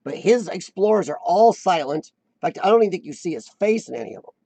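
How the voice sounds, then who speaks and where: A man's voice: high-pitched, sing-song, fast, gravelly, mellow, husky, dry recording. A man hosting a casual live-stream chat, rambling and self-deprecating, often restarting his sentences. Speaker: host of a live chat show.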